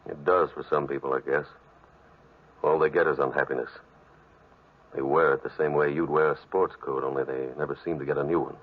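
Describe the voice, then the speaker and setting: A sorrowful, gentle priest with an extremely deep, husky voice and very flat delivery. He is resigned and philosophical, on a thin vintage radio recording. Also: fairly quick, phone-quality sound. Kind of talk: 1940s radio drama acting.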